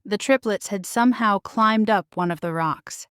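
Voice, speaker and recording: Smooth-voiced woman reading a bedtime story. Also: mid-range voice, moderate pace, dry recording, clean studio sound. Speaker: storybook narrator. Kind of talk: scripted narration of a children's bedtime story.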